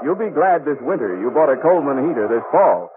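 A man's voice: fairly high pitch, very animated, a little fast, heavy background noise. A man with an American accent announcing in emphatic, salesmanlike 1950s radio commercial style. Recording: muffled vintage radio broadcast recording.

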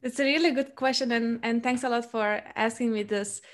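A woman's voice: fairly high pitch, steady tone, brisk. A young woman hosting a podcast interview, talking casually and stopping often for filler sounds. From Lithuania. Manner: hesitant, many filler sounds. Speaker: podcast host.

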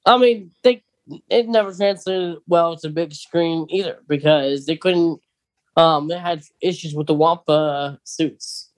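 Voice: medium pitch, a little slow. A podcast co-host with a mid-range voice who leads the casual conversation and moves it along with questions. The speech is hesitant, full of "um" and self-restarts. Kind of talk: casual chat.

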